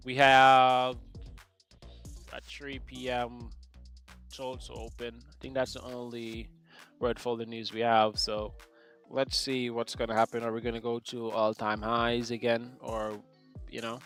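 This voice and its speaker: A man's voice: mid-range voice, monotone, a little slow, a bit bright, faint music behind. A man from Jamaica hosting a live trading stream, chatting casually and joking with viewers in the chat. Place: home setup, UK.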